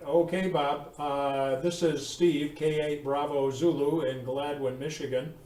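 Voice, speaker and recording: A man's voice: fairly high pitch, fairly even intonation, a little slow, mellow. Man on ham radio, roomy distant sound. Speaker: amateur radio operator. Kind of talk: casual ham radio chat. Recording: roomy, distant mic with faint noise.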